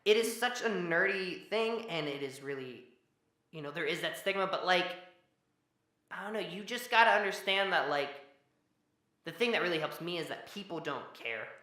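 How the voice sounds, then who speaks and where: A young man with a naturally high, bright voice chats on a podcast, worn out after a long day. He rambles and restarts his sentences, trailing off mid-thought. The room around him rings with echo. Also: lively intonation, normal speed. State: tired from working all day. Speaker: podcast co-host. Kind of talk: casual podcast chat. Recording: echoey room.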